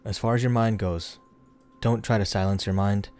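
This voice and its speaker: A man leading a guided meditation in a soothing, unhurried, instructional way, leaving pauses between phrases. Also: on the low side, fairly even intonation, darkish tone, smooth. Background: background music.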